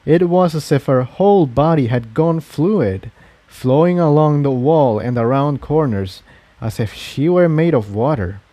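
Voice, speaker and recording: A man's voice: fairly high pitch, animated, unhurried, warm tone, dry recording. A man reading a fantasy adventure story aloud as its narrator. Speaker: fan fiction narrator.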